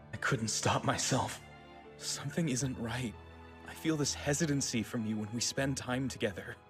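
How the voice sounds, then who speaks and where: A man's voice: on the low side, fairly bright tone. A man playing an insecure, earnest young man in love in a dubbed romantic drama, hesitant, fragile and pleading.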